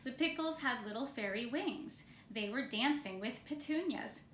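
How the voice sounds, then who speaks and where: A woman's voice: a little high, lively intonation, normal speed, fairly bright tone. A woman narrating a children's story as she reads it from a picture book. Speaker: storybook reader. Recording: roomy echo, faint background noise.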